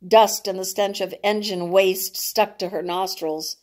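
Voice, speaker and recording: A woman narrates a dramatic story at a slow, deliberate pace. Her delivery is that of an author reading her own prose, occasionally tripping on a word. The pitch sits in an ordinary middle range for a woman. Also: steady tone, darkish tone. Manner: deliberate, dramatic, with stumbles over words.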